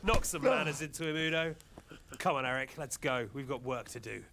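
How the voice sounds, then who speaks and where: A man's voice: mid-range voice, lively intonation, brisk, background noise, lightly acted. An actor playing a smug, menacing nobleman warlord in a video game, mocking his captive with theatrical politeness. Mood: dismissive. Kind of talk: dramatic video game cutscene acting.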